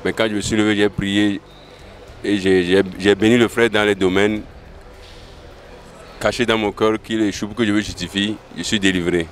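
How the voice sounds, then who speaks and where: Low-voiced young man testifying. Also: level tone, moderate pace, long pauses. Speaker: convention attendee. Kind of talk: interview testimony. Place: large open hall. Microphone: handheld interview microphone. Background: faint ambient noise.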